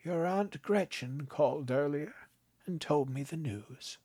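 A man narrating a story, voicing a calm, frail old grandfather who speaks slowly and gently. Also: a little high, husky, dry recording, voice pushed up. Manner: slow, gentle, unbothered.

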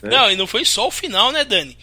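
A man's voice: high voice, animated, fast, gravelly, bright, forceful tone, no echo. A Brazilian man co-hosting a motorsport show, listing race results and bantering casually. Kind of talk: casual podcast chat.

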